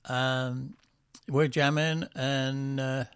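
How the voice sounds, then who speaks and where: A man's voice: medium pitch, level tone, extremely slow, smooth, no room sound, clean studio sound. A man co-hosting a casual podcast, rambling with lots of ums and self-deprecating asides. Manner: breaks into quoted voices for jokes. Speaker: musician and podcast co-host.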